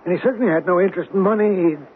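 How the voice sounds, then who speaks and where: Actor as a high-pitched, flustered company president. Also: male voice, racing, natural voice. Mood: worried, defensive. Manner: hesitant, anxious, defensive businessman. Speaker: actor in a radio mystery drama. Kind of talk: 1970s radio drama acting. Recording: tinny, noisy old radio recording.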